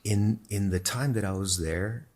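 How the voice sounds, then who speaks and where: A man whose first language is Spanish, a podcast guest thoughtfully telling his own story in a measured, explanatory way. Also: on the low side, a little mellow. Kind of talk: reflective personal storytelling in conversation.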